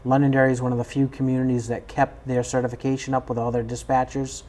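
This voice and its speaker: A man's voice: mid-range voice, completely flat delivery, quick pace, darkish tone. A man with an American accent explaining procedures in an unscripted interview, as a member of a fire department.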